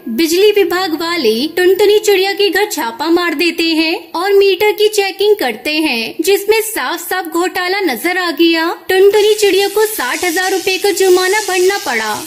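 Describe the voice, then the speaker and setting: A woman narrating a scripted cartoon story in a storybook style. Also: very high voice.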